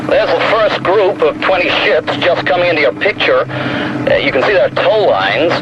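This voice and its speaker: Earnest live reporter, slightly high voice, dull lo-fi sound, engine noise behind. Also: male voice. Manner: descriptive, measured. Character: earnest male TV commentator reporting live from aloft. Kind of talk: live news commentary in radio drama. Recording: muffled lo-fi transmission, aircraft-like noise.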